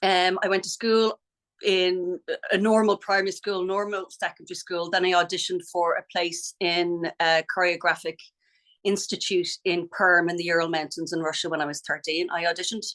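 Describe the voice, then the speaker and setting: A woman's voice: on the low side, little pitch movement, normal speed, a bit bright. A middle-aged Irish woman talking as a podcast guest, chatty and frank, laughing easily as she recalls her life as a ballet teacher. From Ireland. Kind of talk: casual interview chat.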